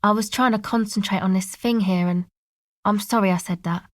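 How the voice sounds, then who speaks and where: A woman playing a laid-back, sardonic young woman in a video game, speaking in a casual, slangy, deadpan way. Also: mid-range voice, a little fast, no echo, clean studio sound, lightly acted. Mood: apologetic. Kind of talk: video game character dialogue.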